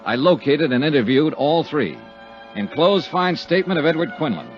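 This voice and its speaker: An actor playing a wry, world-weary insurance investigator who narrates a 1950s radio drama in a sardonic, clipped way. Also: male voice, fairly high pitch, big pitch swings, moderate pace. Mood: dry narration. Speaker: lead and narrator. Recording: dull 1950s radio recording with music.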